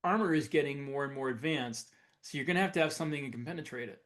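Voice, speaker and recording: A man co-hosting a podcast, explaining things in a casual, measured and somewhat halting way as he discusses technology. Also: mid-range voice, fairly bright tone. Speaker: podcast co-host. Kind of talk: casual speculative tech discussion.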